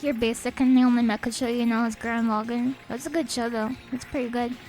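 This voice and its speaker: A young woman chatting casually on a game stream. She is a little sniffly, speaks softly, and reads out chat messages. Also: on the high side, steady tone, fast. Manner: soft-spoken, reads chat aloud. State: sniffly, a bit stuffed up. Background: faint game music.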